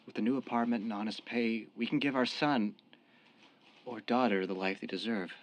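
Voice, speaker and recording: A man's voice: medium pitch, steady tone, normal speed, slight background noise, lightly acted, poor audio. An actor in a radio drama playing a young former gangster, repentant and devoted to his sweetheart. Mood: earnest. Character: young ex-mob tough, repentant, devoted expectant father.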